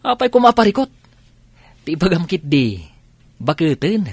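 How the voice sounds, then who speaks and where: A man's voice: sits high, sing-song, normal speed, breathy edge, no room sound, exaggerated voice. A man playing a teasing, cautioning young buddy in an Ilocano radio drama.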